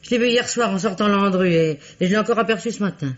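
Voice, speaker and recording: An actress playing a nosy, talkative concierge in a French radio mystery, colloquial and quick to justify herself. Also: female voice, medium pitch, natural voice. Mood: gossipy, prim. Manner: colloquial, gossipy, self-justifying. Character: nosy, gossipy female concierge, talkative and defensive. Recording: dull lo-fi radio recording.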